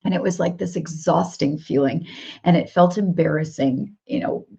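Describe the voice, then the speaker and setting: Woman coach, kinda hoarse and raspy, low voice, dull lo-fi stream audio. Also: normal speed, dark tone. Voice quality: gravelly. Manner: upbeat, repeats phrases, talks straight to viewers. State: hoarse from cheering. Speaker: business coach. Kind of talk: live-streamed coaching session.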